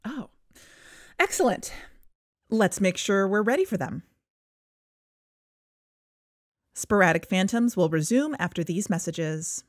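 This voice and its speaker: A woman's voice: medium pitch, animated, normal speed, no room sound, clean studio sound, lightly acted. A woman acting as an earnest, insistent podcast host in a conversational audio drama. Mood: relieved.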